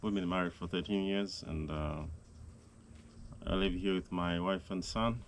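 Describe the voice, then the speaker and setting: A man speaks slowly in a very low voice. He hesitates often with fillers. A faint hiss sits under the recording. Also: fairly even intonation. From Zambia. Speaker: guest, host's husband. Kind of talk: casual conversation.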